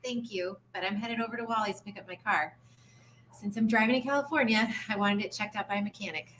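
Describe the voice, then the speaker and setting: A woman's voice: medium pitch, quick pace, fairly bright tone, lightly acted. A woman playing a friendly, slightly nervous small-town woman in a table read of a comedy series. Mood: friendly, unsure. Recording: slightly distant mic, faint noise.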